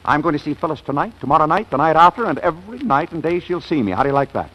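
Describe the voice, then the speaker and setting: A man's voice: on the high side, very animated, racing, fairly bright tone, breathy edge, exaggerated voice, rough recording. An actor playing a suave, bossy, womanizing businessman in a 1940s radio comedy, breezy and self-assured with mock hesitations. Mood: defiant.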